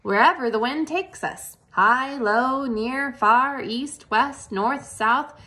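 A woman's voice: sits high, slow, background noise, pitched above the performer's own voice. A woman doing tiny chirpy baby spiders. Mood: calm, sing-song. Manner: small, sing-song, light voice. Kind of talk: storybook read-aloud.

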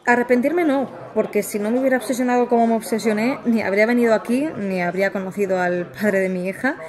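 A woman's voice: a little high, fairly even intonation, fast, lightly acted. An actress playing a reticent former researcher and young mother with an accent from Spain, speaking hesitantly and sincerely in a naturalistic audio drama interview. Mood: reflective, sincere. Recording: busy café chatter behind. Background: café crowd.

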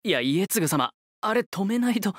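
A man's voice: sits high, very animated, moderate pace, dry recording, clean studio sound. A man playing a kindly, easily flustered young man who talks politely and dramatically in a comedic voice anime. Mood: flustered, dramatic. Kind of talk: Japanese voice-anime comedy acting. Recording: music bed behind the voice.

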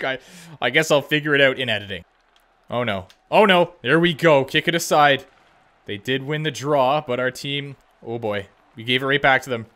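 A young man with a bright, forward voice at a middle pitch commentates casually over his own gameplay. He reacts play by play in slangy sports talk and wanders into playful, self-mocking asides. He speaks close to a desk microphone indoors. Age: young adult. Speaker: gaming video host playing a hockey game. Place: indoors at a home gaming setup. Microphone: close desk microphone, wearing headset.

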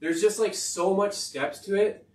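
A man with a high-pitched voice, talking in a rambling, profane and emphatic way on a casual podcast. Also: a little slow. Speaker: podcast host and rapper. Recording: roomy sound from far off the mic.

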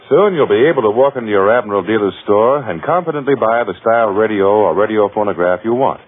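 A man's voice: lowish voice, expressive, quick pace, husky, phone-quality sound, soft background music. A man reading a scripted vintage radio advertisement as a commercial announcer.

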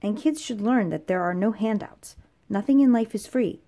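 A woman's voice: mid-range voice, normal speed, warm tone. A woman reading a children's comic aloud, playfully switching tone between the characters. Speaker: read-aloud narrator. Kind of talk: children's comic read-aloud, acting out dialogue.

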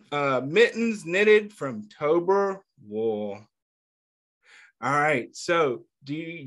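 A man reading a picture book aloud to young children, pausing often to ask them questions and praise their answers, and calling himself by name in the third person. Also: sits high. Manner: gentle, patient, asks questions and pauses for answers. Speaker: children's story reader.

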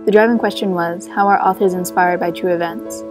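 A teenage girl with a Texan accent who introduces her class project and then reads the closing paragraph of her war essay in a calm, even voice. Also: lowish voice, a little fast, music in the background. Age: teens. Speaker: student. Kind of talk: reading her own essay aloud.

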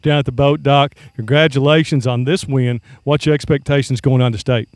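A man's voice: mid-range voice, steady tone, fairly quick, darkish tone, no echo. Folksy sports guy, dry close mic, keeps saying man, postgame chat by the river at night. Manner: folksy, friendly, says "man" a lot. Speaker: sports broadcaster. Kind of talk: postgame sideline interview.

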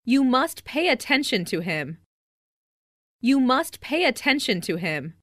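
Female voice, slightly high, very slow and deliberate, lively intonation, dry studio sound. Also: no echo. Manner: careful, deliberate enunciation. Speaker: listening practice speaker. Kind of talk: slow read example sentences.

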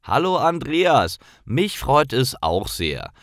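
A man plays an enthusiastic young male visitor in a storybook for language learners. He is friendly and eager, with lively swings in pitch and slow, careful pacing. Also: no echo, clean studio sound, full character voice. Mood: delighted.

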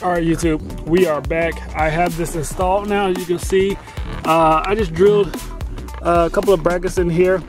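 Casual DIY guy, fairly high voice, filler-heavy self-corrections, phone-recorded in a truck, music in the background. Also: fairly even intonation, moderate pace. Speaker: DIY vlogger installing a CB radio. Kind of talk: casual how-to narration while working. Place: inside a pickup truck cab and garage.

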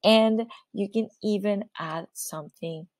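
A woman's voice: mid-range voice, unhurried, a little mellow, dry recording, studio quality. A woman from Venezuela whose first language is Spanish, speaking English with a Venezuelan accent as a fitness coach presenting slides, encouraging and often checking in with "okay?". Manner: encouraging, repeats "okay?", self-corrects.

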